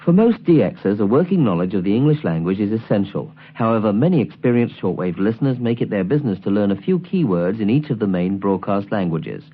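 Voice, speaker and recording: A man reading scripted instructional narration in a measured, precisely enunciated way, as the presenter of a tutorial album. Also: fairly low pitch, animated, slightly rough. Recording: tinny, band-limited 1979 album recording.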